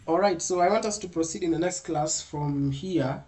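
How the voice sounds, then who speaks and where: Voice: medium pitch, normal speed, dark tone. Patient coding instructor. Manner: patient, walks through each step and repeats itself. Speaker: programming instructor. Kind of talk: online coding lesson.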